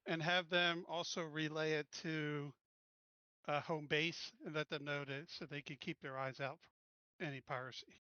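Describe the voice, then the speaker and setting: A man's voice: on the high side, little pitch movement, unhurried, sharp tone, clear, resonant tone, no room sound, lo-fi sound. A man playing a tabletop roleplaying game, casually talking through his plans and instructions. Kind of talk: casual in-game planning talk.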